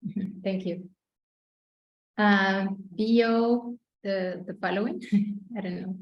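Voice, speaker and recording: A woman's voice: mid-range voice, fairly even intonation, slow pace. A woman hosting an informal online meeting, speaking a little hesitantly over a video call. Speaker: webinar host. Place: indoor room on a video call. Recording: echoey room, muffled video-call audio.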